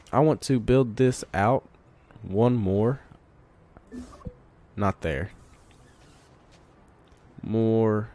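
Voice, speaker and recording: Deep-voiced congested man playing a game. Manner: relaxed, thinking aloud while playing. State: congested from a cold. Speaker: gamer. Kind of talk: casual let's play commentary.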